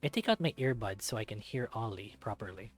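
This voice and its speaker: A man reading a visual novel aloud as an expressive narrator. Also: on the low side, brisk, faint music behind.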